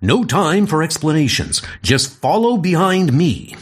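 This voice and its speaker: A man's voice: on the high side, very animated, unhurried, husky, full character voice. A man voicing a mighty Norse thunder god who speaks in a heroic, commanding way, with music behind. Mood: urgent.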